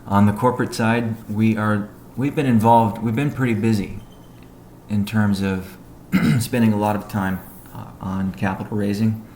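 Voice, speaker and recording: A man with a low, creaky voice delivers a technical business update on a conference call. His delivery is measured and halting, with little melody. The sound is roomy and echoey. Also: steady tone, a little mellow. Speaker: company executive giving update. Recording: echoey room, faint background noise.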